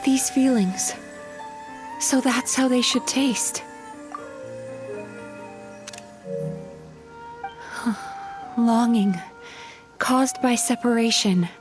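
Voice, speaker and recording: Gentle young game heroine, high voice, earnest n thoughtful, bit of music behind. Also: female voice, takes it slowly, pauses often. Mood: wistful, tender. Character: gentle, thoughtful young woman, a dream psychologist. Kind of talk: video game character acting.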